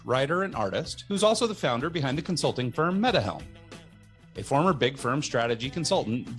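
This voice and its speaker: A middle-aged man hosting a podcast, reading a cheerful scripted introduction and then chatting casually with quick laughs. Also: on the high side, a bit bright. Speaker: podcast host.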